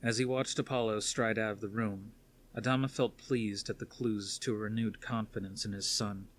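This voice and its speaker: A man's voice: on the low side, steady tone, normal speed. A man reading a novel aloud as scripted story narration. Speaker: audiobook narrator.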